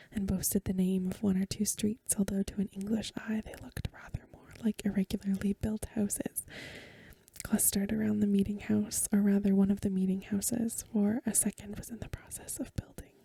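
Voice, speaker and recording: Breathy, very dark whispering ASMR woman. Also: mid-range voice, dry recording. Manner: gentle, unhurried, literary narration. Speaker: ASMR host reading a short story aloud. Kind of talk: soft-spoken ASMR bedtime story reading. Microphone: close microphone.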